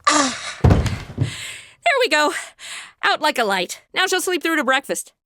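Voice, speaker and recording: A woman's voice: high-pitched, big pitch swings, slow pace, bright, forceful tone, no room sound, studio quality, exaggerated voice. A woman playing a brash, flippant young woman from the future in a comedy audio drama, with breezy, sarcastic modern slang.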